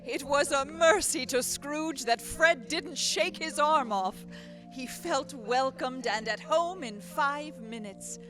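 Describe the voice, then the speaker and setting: A woman's voice: high voice, slow pace. A woman narrator delivering scripted storybook narration in a dramatic radio play style. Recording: music behind.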